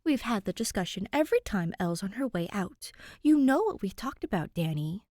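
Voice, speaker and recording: A woman's voice: medium pitch, sing-song, brisk, darkish tone, dry recording. A bossy but fond big sister in an audio story, voiced by a woman narrator. She is firm and mock-formal, full of affectionate exasperation, in a dry, close recording. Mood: firm scolding.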